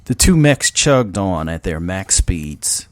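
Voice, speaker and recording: A man's voice: low voice, very animated, slow, dry recording. A man narrating a scripted science fiction story in a dramatic style.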